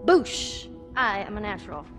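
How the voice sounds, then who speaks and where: A woman playing a cheeky, competitive teenage girl in a video game, teasing and expressive. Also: mid-range voice, moderate pace, music in the background, natural voice. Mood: cocky, playful. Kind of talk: naturalistic video game acting.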